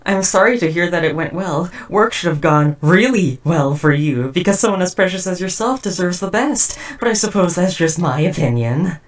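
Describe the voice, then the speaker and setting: A woman's voice: on the low side, expressive, moderate pace, few pauses, recorded from a distance, close to an everyday voice. A woman playing a socially awkward, devoted but murderous robot house spouse in a roleplay audio drama, speaking in stiff, wordy, formal phrases. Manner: stiff, verbose, overly formal wording. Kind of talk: scripted roleplay audio drama addressed to listener.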